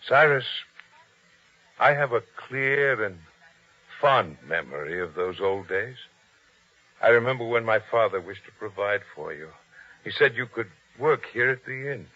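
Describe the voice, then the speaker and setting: An actor playing a humble, anxious but principled innkeeper in old-time radio drama style, earnest and formal. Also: male voice, mid-range voice, expressive, a little slow, pushed and bright, no echo. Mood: saddened, reproachful. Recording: dull, lo-fi old radio sound.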